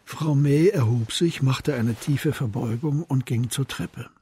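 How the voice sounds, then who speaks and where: An actor as the narrator of a radio crime drama, reading literary description in a measured, composed manner. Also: male voice, medium pitch, warm tone, slight background noise, natural voice. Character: composed literary storyteller of a detective tale.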